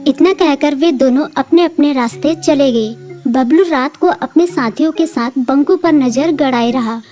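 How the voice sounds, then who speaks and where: Mellow high-voiced woman narrating. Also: unhurried, faint music behind. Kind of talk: scripted storybook narration.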